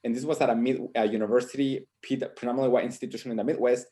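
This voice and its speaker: A man with a voice at an ordinary pitch, speaking as a panelist on a video call. He talks in a reflective way and often tags his points with "right?". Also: steady tone, rapid-fire, dark tone. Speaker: professor on a panel.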